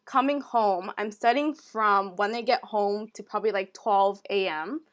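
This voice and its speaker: A young woman co-hosting a podcast, telling stories in a relaxed, casual way and often saying "like". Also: mid-range voice, measured pace. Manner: relaxed storytelling, heavy use of "like". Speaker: podcast co-host. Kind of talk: casual chat.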